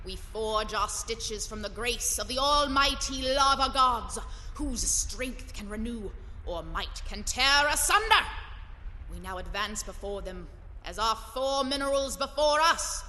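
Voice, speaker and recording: An imperious lava queen, rules in a radio fantasy drama. Her voice is bright and cutting, and she declaims slowly and grandly in archaic ritual phrases. It rings through a huge, echoing hall. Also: a little high, animated. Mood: solemn, commanding. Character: imperious Lava Queen, fierce ceremonial ruler. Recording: long echoing hall reverb, faint noise.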